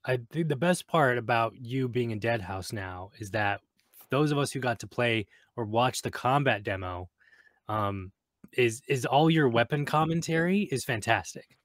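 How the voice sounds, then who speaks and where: A man co-hosting a relaxed podcast with an even, middle-pitched voice. He sounds eager and agrees readily. The recording is dry and close. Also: normal speed, dry recording. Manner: enthusiastic, agreeable. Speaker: podcast co-host. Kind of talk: casual podcast chat.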